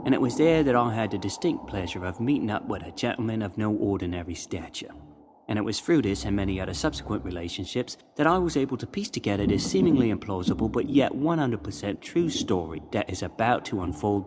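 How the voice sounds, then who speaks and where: A man's voice: on the low side, lightly acted. A man playing a florid, theatrical storyteller who was once a London street lad, narrating a dramatic serial.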